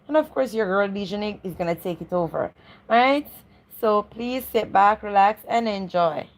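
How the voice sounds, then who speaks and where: A woman with a medium-pitched voice presents as co-host of a live read-aloud. She addresses viewers directly in a chatty, welcoming style, often repeating her linking phrases. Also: normal speed.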